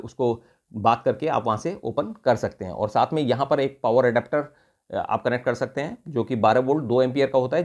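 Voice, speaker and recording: A man's voice: medium pitch, a little mellow. A man with an Indian accent giving an unscripted product demonstration, explaining step by step and often rephrasing in mixed Hindi and English. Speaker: product reviewer.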